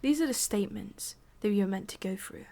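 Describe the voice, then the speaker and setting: A woman with an English accent playing a sadistic, condescending female demon boss in an ASMR roleplay, speaking deliberately and slipping between soft voice and whisper. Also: medium pitch, background noise, natural voice. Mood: coldly threatening.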